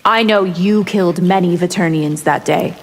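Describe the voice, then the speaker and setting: A woman's voice: fairly low pitch, moderate pace, very noisy, natural voice. Woman playing a disdainful vampire in a reverberant hall. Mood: scornful. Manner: taunting, disdainful. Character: cold, scheming female winged vampire warrior. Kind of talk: video game character dialogue. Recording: long reverb, loud music behind.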